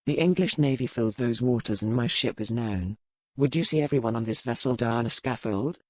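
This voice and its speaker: A woman voicing a formal, resigned male pirate captain in an audiobook, speaking with measured gravity. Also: rumbling low, darkish tone, dry recording, voice pushed down. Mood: firm, grave. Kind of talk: dramatic audiobook character reading.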